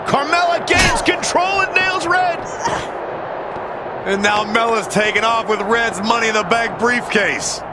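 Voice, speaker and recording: A man playing an excited wrestling announcer in a video game, calling play-by-play commentary. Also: very high voice, a little slow, heavy background noise. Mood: excited, alarmed. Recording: loud music over the commentary.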